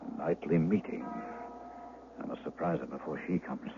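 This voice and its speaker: An actor playing an enraged, imperious Duke out for revenge in an old-time radio drama. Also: male voice, extremely low pitch, steady tone, normal speed. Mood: furtive, muttering. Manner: menacing, imperious, melodramatic. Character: enraged, jealous heavy-set Duke, absolute ruler out for revenge. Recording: tinny, hissy old radio broadcast.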